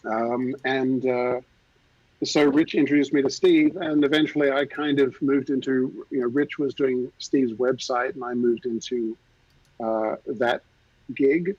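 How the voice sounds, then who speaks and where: Man with a softened Australian accent. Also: mid-range voice, unhurried, no echo, dull audio. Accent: Australian, softened by years in the US. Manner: relaxed storytelling with many fillers. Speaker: guest, art designer. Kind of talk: casual video call chat. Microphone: video call.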